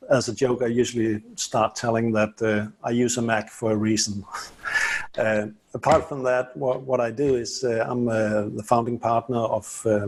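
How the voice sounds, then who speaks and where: A man from Denmark introducing himself on an online panel, halting with many fillers and repeated words. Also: medium pitch, a little mellow, breathy edge. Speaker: panelist, management consultant. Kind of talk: casual panel talk.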